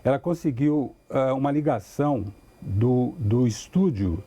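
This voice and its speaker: A man's voice: mid-range voice, animated, unhurried, dark tone, slightly rough. A man with a Brazilian accent reminiscing in an interview, choosing formal, articulate wording and often adding little tag questions. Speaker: documentary interviewee.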